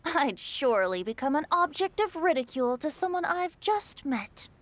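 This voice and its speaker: Polite, modest young noblewoman for a game; high, lively intonation, formal, playfully self-deprecating. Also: moderate pace, a little hiss or hum. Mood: modest, amused. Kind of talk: video game voice acting.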